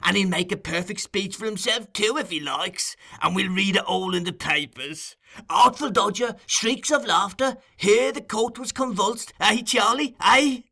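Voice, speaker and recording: A man's voice: sits high, moderate pace, vocal fry, hardly any pauses, pushed and bright, voice pushed up, exaggerated voice. A man voicing a scheming old male thief-master who wheedles and keeps calling people "my dear.". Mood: coaxing.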